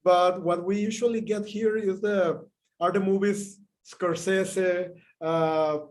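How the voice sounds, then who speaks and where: Man, high voice, interviewer, hesitant, searching for words. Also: steady tone, takes it slowly. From Mexico. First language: Spanish. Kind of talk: interview questions.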